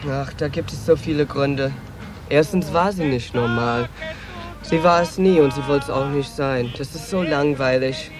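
An actor playing a sassy, world-weary transgender prostitute, flirtatious, candid and wistful, in a radio drama. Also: male voice, mid-range voice, normal speed, over music, lightly acted. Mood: candid, brazen. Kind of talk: 1980s German radio drama acting.

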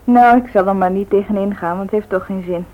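A woman's voice: medium pitch, fairly even intonation, fast talker, close to an everyday voice. An actress playing a teasing, practical woman who coaxes persistently in a Dutch radio play. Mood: matter-of-fact advising. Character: teasing, practical woman, meddling matchmaker to a man. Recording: tinny, noisy old radio recording.

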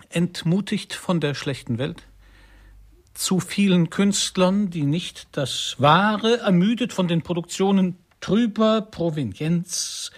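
An actor in a radio play playing a pompous, embittered artist who speaks in stilted, florid dictation. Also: male voice, sits high. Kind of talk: German radio play acting.

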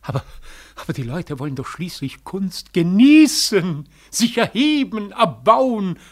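A man's voice: sits high, big pitch swings, measured pace. A man playing a self-important, patronizing cabaret director in a 1940s German radio drama, theatrical and condescending. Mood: mocking, grandiose.

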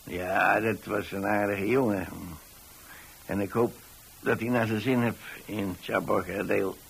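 A man's voice: on the low side, steady tone, unhurried, creaky, a little hiss or hum. An actor playing a kindly older landlord in a radio monologue, chatty and hesitant as he rambles along. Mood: wistful, fond. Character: kindly rambling old pensioner landlord, widower-like homebody.

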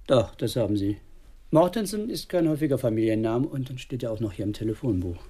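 An actor playing a cold-blooded, coolly polite male hired killer in a radio crime drama, with a husky voice. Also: mid-range voice, animated, quick pace, dark tone. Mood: calm menace.

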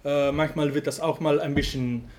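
A man from Flanders with a Flemish accent, giving a speech in a language that is not his own, often pausing to search for words. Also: a little high, steady tone, a little mellow. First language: Dutch. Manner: halting, pauses to search for words. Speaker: guest speaker. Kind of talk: speech to an audience.

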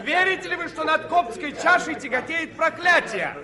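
An actor playing a glib, pushy newspaper reporter in a radio play, pressing with theatrical questions. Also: male voice, very high voice, lively intonation, moderate pace. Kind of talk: radio drama acting. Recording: radio drama with crowd and music.

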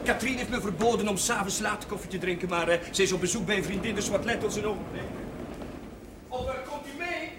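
Excitable young chaplain, noisy soundtrack. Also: male voice, sits high, quick pace. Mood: hospitable. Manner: hesitant, musing, easily worked up. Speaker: actor in a television drama series. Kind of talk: naturalistic television drama dialogue. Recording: noisy old TV soundtrack, clattering dishes.

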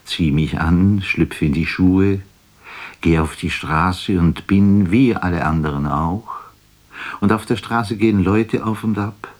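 An actor playing a melancholy, lonely poet in a literary radio drama, speaking in a solemn, introspective and theatrical way. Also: male voice, sits low, slow. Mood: brooding, wistful.

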